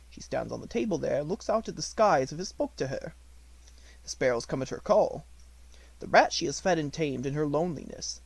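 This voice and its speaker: A devoted Indian manservant from a children's storybook, acted out by a male reader. He is respectful and watchful, with formal, poetic phrasing. The voice is raised and melodic, with a little creak, recorded dry. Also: a little high, a little mellow, no echo, voice pushed up, low-quality recording.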